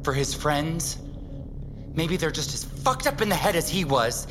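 An actor playing a shaken, disgusted appraiser in an audio drama, halting and repeating himself, often dropping to a hush. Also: male voice, a little high, sing-song, background noise. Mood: hysterical anger. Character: shaken, disgusted professional appraiser, unravelling as he talks. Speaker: appraiser examining an exhibit. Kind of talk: audio fiction monologue. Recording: music under the voice.

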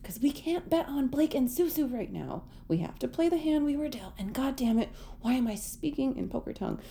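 Wry young female survivor, very mellow, creaky, wordy, self-mocking, audio drama. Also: high voice, animated, brisk. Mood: wry, urgent.

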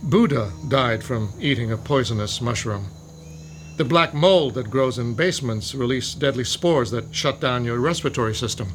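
A man's voice: medium pitch, expressive, moderate pace, fairly bright tone, soft background music, close to an everyday voice. An actor playing a male professor who lectures patiently about fungi in an audio drama. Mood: lecturing. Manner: lecturing, explanatory. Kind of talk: modern audio drama acting.

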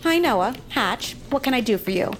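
A woman playing a brisk but sympathetic town council official in an audio drama, matter-of-fact and wry. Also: a little high, lively intonation, a little hiss or hum, lightly acted. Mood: businesslike. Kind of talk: family audio drama acting.

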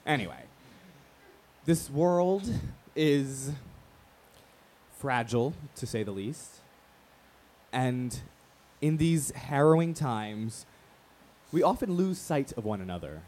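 An actor playing an earnest, reflective male radio talk show host with a rhetorical, measured delivery. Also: mid-range voice, sing-song, darkish tone. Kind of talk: radio play acting, talk show monologue.

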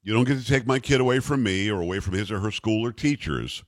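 A man's voice: on the low side, quick pace, dry recording, studio quality. Blunt talk radio host, a man. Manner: blunt, emphatic, teasing. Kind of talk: talk radio with live ad reads. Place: radio studio.

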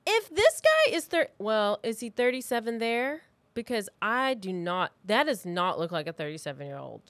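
A woman's voice: fairly high pitch, sing-song, normal speed, a bit bright, dry recording. A woman co-hosting a podcast, chatting casually in a blunt, opinionated way. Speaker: podcast co-host. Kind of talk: casual chat.

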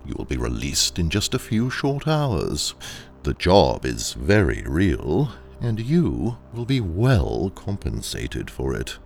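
An actor playing a ruthless corporate trainer in an audio drama, speaking with measured, polite and condescending menace. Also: male voice, a bass voice, wildly swooping pitch, vocal fry, darkish tone, full character voice. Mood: calm, reassuring menace.

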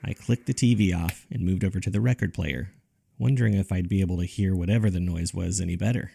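A man narrating a scripted spooky first-person story in a measured, dry, conversational way, as if reading from a journal. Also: low voice, vocal fry, dark tone, slightly rough. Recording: music under the voice. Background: background music.

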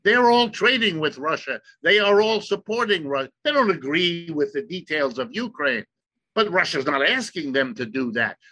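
Brief: US-born man, German first language, emphatic lecturer, slightly high voice with a tense, forceful edge. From United States. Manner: emphatic, lecturing, slows for stress. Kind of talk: explanatory talk.

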